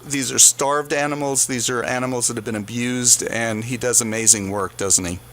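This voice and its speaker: A man hosting a conversational radio interview, asking questions in a somewhat halting way. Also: mid-range voice, steady tone, fairly bright tone, slight background noise. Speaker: radio host. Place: radio studio.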